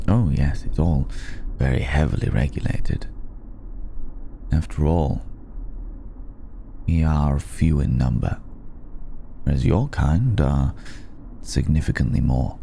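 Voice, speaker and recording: A man playing a suave, worldly old vampire in an intimate ASMR roleplay, speaking with refined, wry hesitation and a gravelly voice. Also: slow, pauses often, a little hiss or hum, full character voice. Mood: measured, courtly. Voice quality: gravelly, dark low voice. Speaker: voice actor in audio roleplay.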